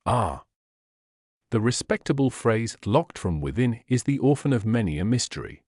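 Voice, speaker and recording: A man voicing a coolly analytical Victorian gentleman detective in an audiobook, speaking in a precise, erudite, dry manner. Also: mid-range voice, very animated, moderate pace, no room sound. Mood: cool, probing. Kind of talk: audiobook character voice.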